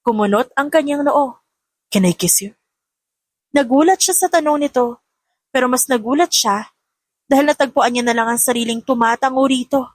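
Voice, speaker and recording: A woman's voice: sits high, a little fast, no echo. A woman narrating a scripted romance story as an audiobook reader.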